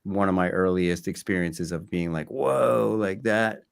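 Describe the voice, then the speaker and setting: A man talking casually as an interview guest, halting and circling back to repeat his phrases. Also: sits low, creaky.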